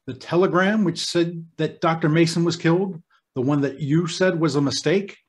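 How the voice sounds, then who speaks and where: An actor playing a nervous, urgent, insistent hospital doctor in an old-time radio drama. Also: male voice, on the high side, moderate pace, lightly acted. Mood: tense, confronting. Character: nervous, agitated male hospital doctor in charge.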